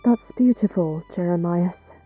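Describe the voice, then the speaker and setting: An actress playing a guarded, quietly compassionate woman traveller in an audio drama, with a terse, sober, measured delivery. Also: lowish voice, husky, no echo, narrow, tinny audio, soft background music. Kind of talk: audio drama character acting.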